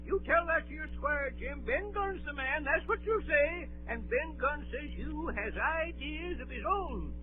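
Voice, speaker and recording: An actor playing a half-mad, marooned old pirate castaway in a 1930s radio drama, excitable and wheedling, with rustic sailor speech and a cracked, whooping voice. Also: male voice, very high-pitched, measured pace, hardly any pauses. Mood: confiding. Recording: tinny, band-limited 1930s radio broadcast.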